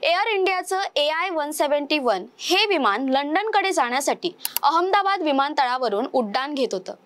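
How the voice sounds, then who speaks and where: A young woman presenting a news explainer in a measured, formal newsreader style. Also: sits high, animated, a bit bright, a little hiss or hum. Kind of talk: scripted news narration.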